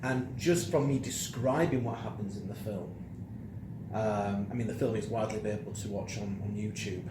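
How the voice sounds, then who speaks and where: A man's voice: fairly low pitch, normal speed. A middle-aged man chatting casually as co-host of a film review show. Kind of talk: casual chat. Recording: roomy echo, clearly audible background noise.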